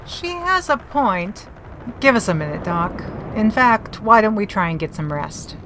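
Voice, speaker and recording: A woman's voice: medium pitch, sing-song, measured pace, noisy background. A woman playing a practical, wry modern young woman in an audio drama, talking in a casual, blunt, slangy way. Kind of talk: full-cast audio drama acting.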